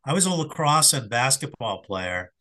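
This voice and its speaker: Middle-aged male guest, slightly hoarse, bright sound, thoughtful and halting delivery. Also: medium pitch, big pitch swings, low-quality recording. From Philadelphia area. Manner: reflective, hesitant with fillers and restarts. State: hoarse after covid. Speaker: sports psychologist guest. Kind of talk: casual interview answers.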